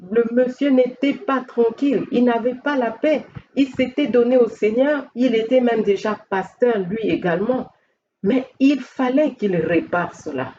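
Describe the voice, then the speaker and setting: A woman with a French-speaking Central African accent preaches earnestly, pressing her listeners with question after question. Her voice is mellow and soft-edged. The recording is a little dull and carries a faint background hiss. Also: fairly low pitch. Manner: earnest exhortation with rhetorical questions. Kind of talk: sermon.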